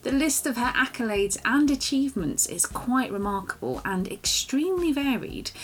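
Host voice: woman at middle pitch, brisk and formal, reading a scripted intro. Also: low-quality recording. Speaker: podcast host.

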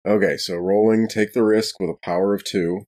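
A man's voice: fairly low pitch, fairly even intonation, fairly quick, darkish tone. A man playing a tabletop role-playing game, casually explaining what his character does.

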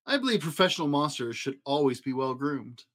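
A man's voice: very animated, fairly quick, a bit bright. A man voicing a prim, well-groomed male storybook monster with a silky voice and refined, fussy courtesy. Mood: prim, courteous. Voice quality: high, silky.

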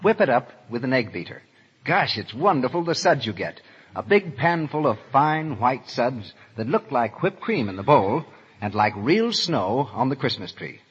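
Old-time american radio announcer guy, swooping lively delivery, really fuzzy hall recording. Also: mid-range voice, normal speed, breathy edge. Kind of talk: 1930s radio ad read and announcing. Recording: muffled, hazy 1930s radio broadcast in a hall.

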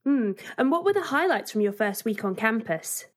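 A woman's voice: fairly high pitch, very animated, moderate pace. A woman podcast host putting friendly, prepared interview questions to a guest.

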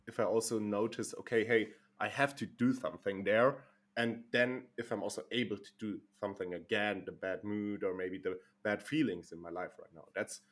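A young man in his twenties from Germany, a podcast guest who speaks thoughtfully with frequent halts and repeated words. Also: sits low. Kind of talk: casual podcast chat.

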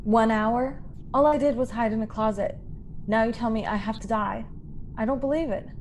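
An actress playing a frightened young woman stowaway in a science fiction radio drama, halting and emotional. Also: on the high side, background noise, natural voice. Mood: bitter disbelief. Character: frightened young female stowaway, pleading, naive and wistful. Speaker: actor in a radio play.

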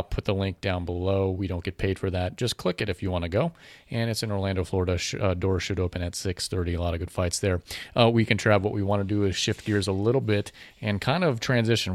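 A man with a low voice co-hosts a casual boxing podcast, talking easily and throwing in promotional plugs. He's on a studio mic with headphones in a small podcast room, and the sound is close and dry. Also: a little fast, no echo. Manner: promotional, plugs sponsors and events. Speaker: podcast co-host.

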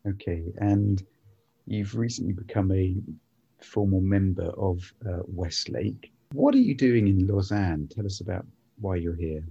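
A man hosts a church podcast interview with a low, husky voice. His tone is mellow and relaxed, and he asks his questions in an earnest, encouraging way. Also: measured pace, muffled recording.

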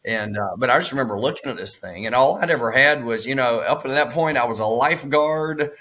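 Middle-aged man, raspy with a cold. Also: medium pitch, fairly quick, fairly bright tone. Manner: rambling, many fillers and restarts. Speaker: real estate investor guest. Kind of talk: casual storytelling interview.

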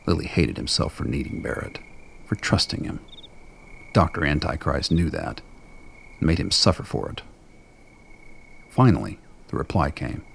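A man with a gravelly, husky voice narrating a scripted dramatic story. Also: extremely low pitch, very animated, normal speed, long pauses, slight background noise.

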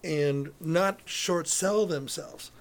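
A man's voice: on the high side, very slow. A man co-hosting a podcast, talking in an encouraging, reflective, casual way. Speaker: podcast co-host. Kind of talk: casual interview chat.

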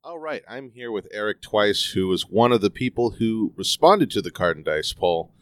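Host read: man, low and smooth voice, dry close sound, measured conversational delivery. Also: animated, fairly bright tone, no room sound. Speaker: podcast host. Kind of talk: podcast interview hosting.

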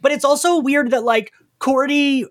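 A man's voice: extremely high pitch, fairly even intonation, normal speed. A man hosting a casual recap discussion, conversational and often questioning. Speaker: podcast host.

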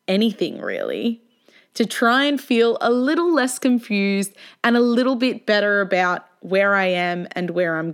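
Young woman in her twenties, podcast host, with a smooth, clean voice at an ordinary middle pitch. She talks in a candid, self-mocking way while reading a scripted narration. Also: a bit bright. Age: 20s.

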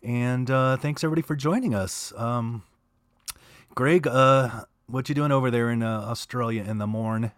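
A man's voice: mid-range voice, normal speed, clear, resonant tone, no echo, clean studio sound. Easygoing man co-hosting radio. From Southern California. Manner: easygoing, hesitant. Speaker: radio co-host. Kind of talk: casual radio chat.

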